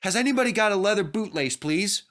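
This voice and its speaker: A man's voice: high voice, lively intonation, fast, fairly bright tone, pitched above the performer's own voice. A man voicing a polite but insistent train conductor in a children's storybook, courteous and firm. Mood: urgent request.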